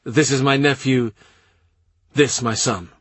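A calm, guarded statesman, read by the male narrator in a level, measured way. The voice is husky and the read is dry and close. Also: mid-range voice, dry recording. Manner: quiet, measured.

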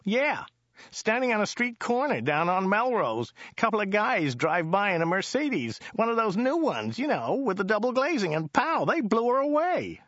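Rambling driver, high-pitched, nasal, whiny, oblivious, lively swoops, storybook character. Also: male voice, normal speed, no room sound, muffled recording, heavily acted. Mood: blithe, chatty. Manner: rambling, repetitive, whining. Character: talkative, oblivious male American driver from California. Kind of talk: audiobook character voice.

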